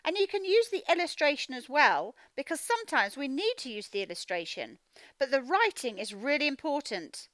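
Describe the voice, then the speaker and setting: A woman teacher giving a children's read-aloud phonics lesson, speaking in an animated, emphatic, instructional way and sounding out words. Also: high-pitched, moderate pace, no echo. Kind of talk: children's read-aloud lesson, explaining directly to young learners.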